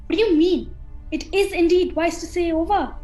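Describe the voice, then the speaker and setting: A woman playing a gracious but insistent countess in an amateur radio play, speaking in a formal, measured way. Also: very high voice, fairly even intonation, warm tone, soft background music. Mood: coaxing, insistent.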